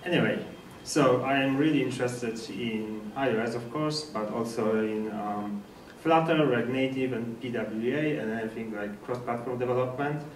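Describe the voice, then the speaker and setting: A man from Poland with a Polish accent gives an informal technical presentation in slightly halting non-native English. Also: mid-range voice. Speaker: speaker at a developer meetup. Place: indoor meetup presentation room. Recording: echoey room, distant mic, faint noise.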